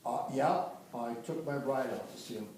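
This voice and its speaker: An older man from Michigan recalling his family history in a casual, halting way, often restarting and correcting himself. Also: mid-range voice, a little mellow, a little hiss or hum. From Dearborn, Michigan. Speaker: interviewee recounting family history. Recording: roomy, distant home recording.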